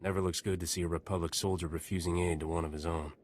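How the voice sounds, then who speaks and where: Man acting a deep, steady game hero. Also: close to an everyday voice. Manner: terse, measured. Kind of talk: scripted video game dialogue. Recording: noisy game capture.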